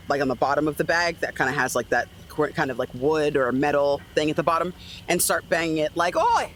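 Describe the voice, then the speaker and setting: A man's voice: fairly high pitch, a bit bright, slight background noise. A man chatting casually as a player in a tabletop role-playing game, describing what a character does.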